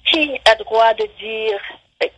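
A woman's voice: high-pitched, sing-song, slow pace, gravelly, fairly bright tone. Hesitant woman professor on a phone line. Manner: hesitant, many restarts and fillers. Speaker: professor and podcaster, guest. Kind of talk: radio interview, explaining.

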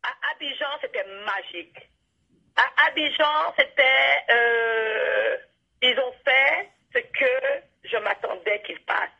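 A woman with a Canadian French accent giving reflective interview answers, earnest and halting, repeating words for emphasis. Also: high voice, gravelly, slightly rough, dull audio. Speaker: interview guest, playwright and storyteller.

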